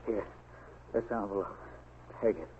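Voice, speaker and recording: Man playing a faint, dying man in old radio. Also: lightly acted. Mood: weak, pained. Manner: faint, halting, low delivery. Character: dying, shot newspaperman, weak and regretful. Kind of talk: 1940s radio acting. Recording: noisy, muffled 1940s radio recording.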